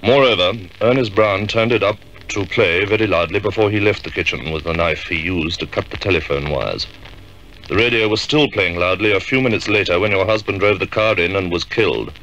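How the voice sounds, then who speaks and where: A man acting a shrewd, authoritative middle-aged police superintendent in a 1950s radio drama, measured and decisive. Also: low-pitched, fairly bright tone, low-quality recording. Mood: grave, firm.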